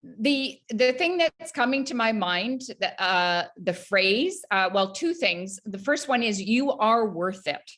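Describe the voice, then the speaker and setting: A woman's voice: mid-range voice, animated, slow, hardly any pauses, a bit bright. Earnest Canadian woman health coach. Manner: earnest, many fillers and restarts. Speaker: podcast guest, health coach. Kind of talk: casual interview talk.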